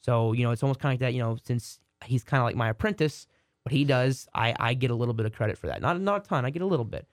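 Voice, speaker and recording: This is a man co-hosting a casual sports podcast, his voice hoarse from a cold. He rambles and restarts his sentences in a mid-range voice. The recording is dry and close. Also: medium pitch, quick pace, no echo. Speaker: podcast co-host.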